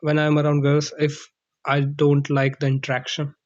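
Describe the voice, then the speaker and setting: Flat-voiced man on a muffled call. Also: on the high side, no room sound. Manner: thoughtful, pauses to find words. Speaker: conversation partner. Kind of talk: casual conversation practice.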